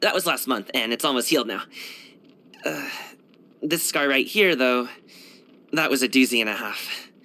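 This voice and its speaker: A man's voice: mid-range voice, normal speed, long pauses, pushed and bright. A man voicing a brash, cheerful young martial arts dancer and guard in a video game, chatting casually in a slangy, boyish, self-assured way. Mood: candid, upbeat. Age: young adult. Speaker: video game character voice actor. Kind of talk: casual, conversational game voice lines.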